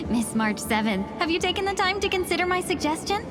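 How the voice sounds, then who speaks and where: Eager, scheming young merchant. High, lively, persuasive, over a loud game score. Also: female voice, normal speed. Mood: coaxing. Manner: sweet-talking, eager salesperson. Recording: loud game music behind.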